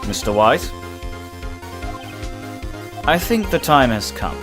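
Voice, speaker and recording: A man's voice: medium pitch, big pitch swings, slow. A man voicing a cool, smug prosecutor in a video game dub, formal and condescending. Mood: smug, menacing. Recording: prominent music under the voice.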